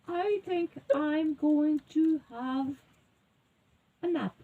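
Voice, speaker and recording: A woman's voice: sits high, extremely slow, narrow, tinny audio, pitched above the performer's own voice, heavily acted. A woman voicing a fussy, tired, dramatic little girl in a children's storybook tale. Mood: sleepy.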